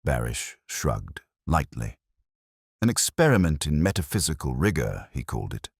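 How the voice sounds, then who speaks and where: A man performs a solemn detective inspector recounting a mystery in the first person. The voice is exceptionally deep, yet it rises and falls noticeably through deliberate, literary sentences. The sound is close and dry, and the temper stays brooding and measured. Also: very animated, breathy edge, no echo, exaggerated voice. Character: brooding, measured male detective inspector narrating his case. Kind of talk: first-person scripted mystery narration, audiobook-like.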